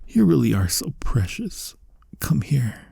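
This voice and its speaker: A man voicing a flirty, tender incubus demon in an ASMR roleplay, breathy and husky, coaxing slowly and reassuringly, often sinking into a whisper. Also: mid-range voice, big pitch swings, warm tone, no room sound, full character voice. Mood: fond, teasing. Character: flirty male incubus demon, tender, soothing, gently dominant. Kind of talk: ASMR roleplay, intimate comforting monologue.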